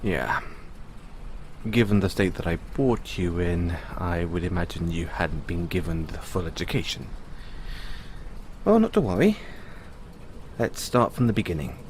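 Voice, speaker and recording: A man playing a patient owner talking to his android in an audio roleplay, explaining in a musing way with pauses. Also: low voice, very animated, a little hiss or hum. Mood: gently musing. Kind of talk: audio roleplay, conversational storytelling.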